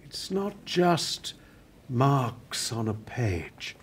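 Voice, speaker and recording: A man's voice: mid-range voice, very animated, slight background noise. An actor playing a dying elderly male TV writer in a radio play, bitter and guarded, speaking through pain. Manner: bitter, guarded, slow and deliberate.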